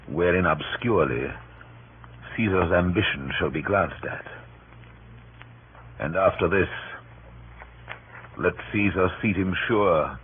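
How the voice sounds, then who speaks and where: An actor playing a scheming, resentful Roman nobleman, brooding and declamatory in radio Shakespeare. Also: low-pitched. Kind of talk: 1930s radio Shakespeare acting. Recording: tinny, hissy old radio recording.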